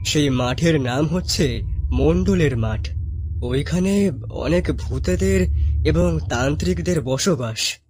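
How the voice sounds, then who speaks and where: Man acting a highish-voiced cautious village uncle. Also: expressive, slow pace, a little mellow, a little hiss or hum. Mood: cautioning, folksy. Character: rustic older tea-stall uncle, cautious, well-meaning, warning travellers. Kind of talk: animated story acting.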